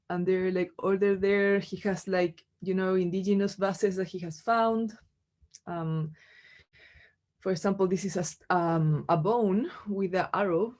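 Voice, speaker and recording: Chilean woman, halting conversational delivery. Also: mid-range voice, darkish tone. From Chile, Santiago. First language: Spanish. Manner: halting, conversational, frequent "like" and "you know". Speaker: visual artist giving a lecture. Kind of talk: informal artist talk with slides.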